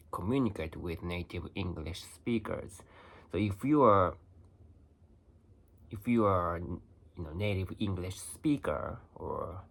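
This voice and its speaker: A young Japanese man with a Japanese accent, talking casually to camera in halting English with many restarts and fillers. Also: sits low, vocal fry. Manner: halting, restarts phrases, lots of "you know". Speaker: vlogger. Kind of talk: casual vlog talk.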